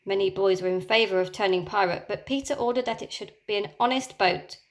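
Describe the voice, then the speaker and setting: A woman teacher reading a children's storybook aloud, with expressive, dramatic storytelling. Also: medium pitch, a little fast.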